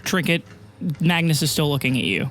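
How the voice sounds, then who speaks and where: A game master with a mid-pitched voice narrates a fantasy tabletop game. The telling is dramatic yet casual and improvised, with faint music underneath. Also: animated, a little fast, fairly bright tone. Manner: dramatic storytelling, casual and sweary.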